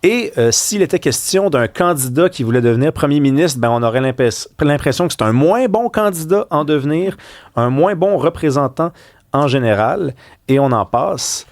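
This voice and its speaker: Lively Québécois male radio host. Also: a little high, normal speed, dry recording, clean studio sound. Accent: Québécois French. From Quebec. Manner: animated, questioning, rhetorical. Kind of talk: talk radio discussion.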